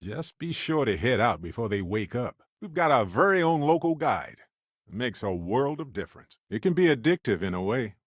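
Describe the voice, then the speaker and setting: A man playing an affable, chatty space explorer in a video game, with a wry, conversational and expressive delivery. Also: mid-range voice, normal speed, no echo, studio quality. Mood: easygoing. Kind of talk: video game character voice lines.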